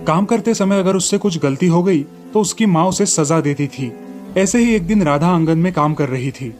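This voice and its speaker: A man's voice: fairly high pitch, animated, normal speed, darkish tone, faint music behind. A man storyteller reading scripted narration for a children's moral tale.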